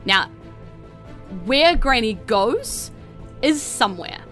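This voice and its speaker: Bright-voiced New Zealand woman running a game. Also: fairly high pitch, lively intonation, slow, soft background music. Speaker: game master and podcast host. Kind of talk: narrating a tabletop role-playing game, improvised.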